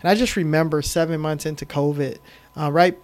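A man, a film director telling his story as a guest in a casual interview, animated and full of ums. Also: a little high, brisk. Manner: animated storyteller, many ums, faith talk.

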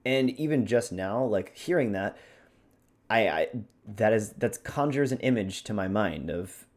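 A man's voice: on the low side, lively intonation, unhurried. A man talking casually as a podcast host in a discussion of performance technique.

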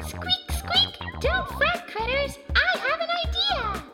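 Squeaky, very high little mouse. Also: female voice, animated, slow, full character voice. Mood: chirpy, confident. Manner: chirpy, reassuring. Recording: music behind the voice.

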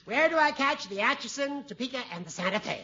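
Chipper old radio judge, high sharp voice, oratorical, very animated swoops, dull vintage recording. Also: male voice, fast talker, very noisy. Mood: chipper, teasing. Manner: flowery, oratorical. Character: chipper, florid old small-town judge, kindly and teasing. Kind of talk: 1950s radio comedy acting.

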